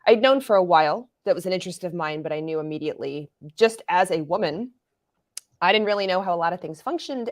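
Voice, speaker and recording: A woman in her forties, a guest expert on an interview show, explaining things in a lively way with casual analogies. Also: fairly low pitch. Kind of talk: interview answers.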